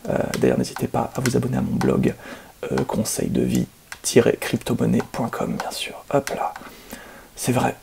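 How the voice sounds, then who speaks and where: A man playing a boastful, excuse-making video game speedrunner in an ASMR roleplay, speaking softly and half-whispered with smug, hesitant phrasing. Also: mid-range voice, very animated, moderate pace, background noise. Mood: smug boasting. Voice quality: soft, breathy, half-whispered, creaky. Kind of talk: ASMR roleplay, soft gaming commentary.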